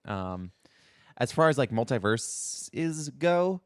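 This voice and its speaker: A man's voice: medium pitch, sing-song, slow, no echo. Informal man on a podcast, dry sound. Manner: informal, conversational. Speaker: podcast co-host. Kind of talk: casual chat.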